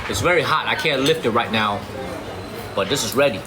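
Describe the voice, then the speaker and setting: A man's voice: medium pitch, a little fast, crowd noise. A man hosting a casual eating livestream at an outdoor restaurant table, chatty and slangy, repeating himself as he talks. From China. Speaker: livestream host. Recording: loud outdoor noise.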